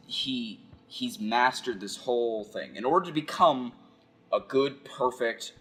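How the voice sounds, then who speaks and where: A man giving casual, rambling philosophical commentary, halting and repeating phrases as he thinks aloud. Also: medium pitch, pushed and bright, poor audio. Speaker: video essayist.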